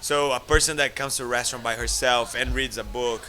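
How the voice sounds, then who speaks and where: Bright-voiced Italian man telling stories. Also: medium pitch, brisk. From Italy. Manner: animated, laughs often, acts out quoted voices. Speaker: guest, restaurateur. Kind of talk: casual storytelling.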